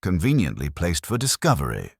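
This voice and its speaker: Deep, husky, shrewd inspector played by a man. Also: wildly swooping pitch, dry recording, clean studio sound, full character voice. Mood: dry, deliberate. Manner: formal, deliberate, dryly observant. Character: shrewd, methodical male police inspector.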